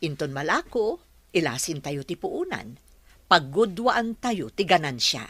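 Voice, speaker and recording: A woman plays a practical, helpful village teacher in an audio drama. Her Ilocano-accented voice sits fairly low and moves around with lively intonation, recorded dry. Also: measured pace, breathy edge, no room sound, heavily acted. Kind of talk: Ilocano radio-style drama acting.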